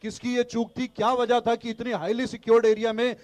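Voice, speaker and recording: A man's voice: very high-pitched, little pitch movement, a little fast, a little mellow. A man speaking as an opposition party spokesman in a heated TV debate, insistent and argumentative, pushing on through interruptions.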